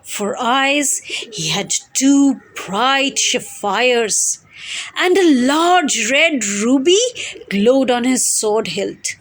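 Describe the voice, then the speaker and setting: A woman with a high-pitched voice presents material as an amateur storyteller. Her pace is very slow and deliberate. She phrases carefully and expressively. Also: animated. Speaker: amateur storyteller and channel host. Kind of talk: dramatised story reading.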